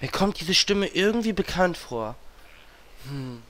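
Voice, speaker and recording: A man's voice: high-pitched, very animated, measured pace, a bit bright, heavily acted. Man playing a swooping, brash adventurer. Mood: puzzled. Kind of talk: German fantasy parody audio drama acting.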